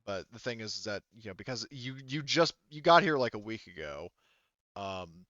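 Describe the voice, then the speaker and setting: A man explaining rules as game master of a tabletop roleplaying game, haltingly, thinking aloud and correcting himself as he goes. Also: on the low side, expressive, fairly bright tone, no echo. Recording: slightly muffled, band-limited voice audio.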